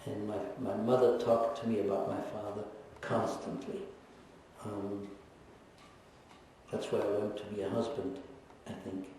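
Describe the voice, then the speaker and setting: A man in his seventies speaks in a mellow, darker voice, reflective and gently teasing, with many pauses. He is picked up from a distance, and the sound rings through a large echoey hall. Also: lowish voice, little pitch movement, slight background noise. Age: 70s. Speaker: guest speaker, Holocaust survivor. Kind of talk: personal testimony in a lecture Q&A. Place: lecture hall. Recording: echoey hall recorded from far off.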